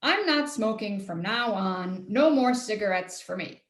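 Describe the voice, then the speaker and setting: An actress playing an earnest, caring woman, halting as she searches for words, in a play reading over a video call. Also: mid-range voice, animated, natural voice. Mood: exasperated, earnest. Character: earnest, caring woman pleading with a cynical friend. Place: roomy space, live Zoom call. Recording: roomy echo on video call.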